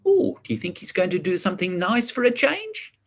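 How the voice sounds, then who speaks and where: A man reading a picture book to children, expressive, drawing out words and adding chatty asides and questions. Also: high voice, fairly quick, gravelly. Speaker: story reader.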